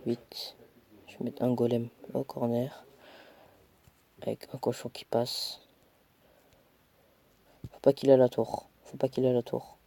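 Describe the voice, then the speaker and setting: A man's voice: medium pitch, completely flat delivery, takes it slowly, creaky, long pauses, warm tone. A young man with a cold, casually commentating his own mobile game match, chatty and self-mocking, muttering as he plays. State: stuffy with a cold.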